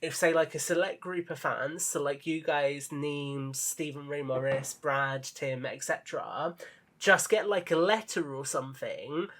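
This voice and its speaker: A man's voice: fairly high pitch, unhurried, a bit bright. A man speaking as a podcast guest in casual, enthusiastic conversation. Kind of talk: casual chat.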